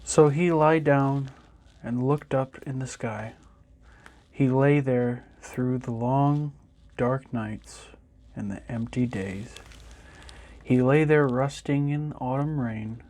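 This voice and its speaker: A man reading a children's picture book aloud in an expressive storytelling manner. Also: medium pitch, slow pace. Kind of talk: children's story time narration.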